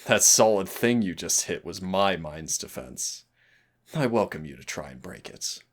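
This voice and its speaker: A winged demon of royal blood, acted by a man, speaks unhurriedly in a very deep register. The voice is thick with gravel and intimate, made for audio roleplay. He comes across as proud, teasing and theatrical. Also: animated, measured pace, exaggerated voice. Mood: playful, taunting. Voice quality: gravelly, husky, breathy low voice. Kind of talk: audio roleplay acting addressed to the listener.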